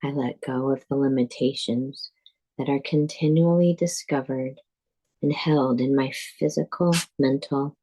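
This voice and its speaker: Very slow, husky woman guiding meditation. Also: extremely low pitch, poor audio. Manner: calm, measured, deliberate phrasing with pauses. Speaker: yoga nidra teacher. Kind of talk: guided meditation, scripted instruction.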